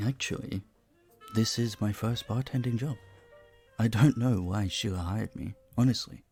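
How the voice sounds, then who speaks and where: Charming vampire bartender for audio roleplay male actor: deep-set pitch, some fry, polite and intimate, a touch formal. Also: moderate pace, soft background music, close to an everyday voice. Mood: flirtatious, modest. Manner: courteous, soft-spoken, slightly formal, teasing. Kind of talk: audio roleplay, intimate one-sided dialogue.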